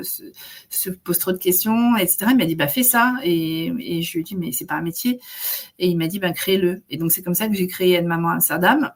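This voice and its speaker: A woman in her forties telling her story in a casual interview, chatty with many fillers. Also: fairly low pitch. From Netherlands. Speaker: guest, maternity carer.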